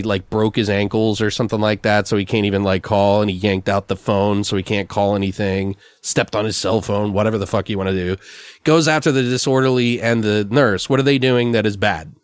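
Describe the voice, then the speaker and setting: A man's voice: lowish voice, level tone, fairly quick, clear, resonant tone, no room sound. A man hosting a podcast, brainstorming in a loose, rambling, slangy and profane way. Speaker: podcast host. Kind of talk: casual brainstorming chat.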